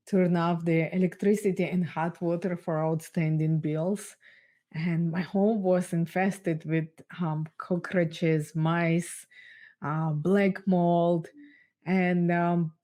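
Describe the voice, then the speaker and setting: A woman from Ukraine with a Russian accent, whose first language is Russian, giving personal testimony as an interview guest in an earnest, measured way with pauses. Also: on the low side, mellow. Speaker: guest speaker.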